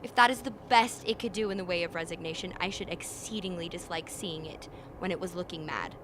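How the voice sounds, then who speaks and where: Woman acting a blunt, sharp-voiced expedition member. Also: lowish voice, brisk, a little hiss or hum, lightly acted, rough recording. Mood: scornful. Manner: forceful, scornful. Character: blunt, combative, sardonic polar expedition member. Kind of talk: radio drama acting.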